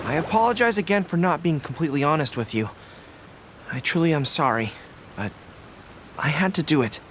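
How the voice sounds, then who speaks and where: A man voicing an earnest, loyal young general in a game, polite and quick to apologize. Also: on the high side, animated, noisy background, lightly acted. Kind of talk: game voice acting.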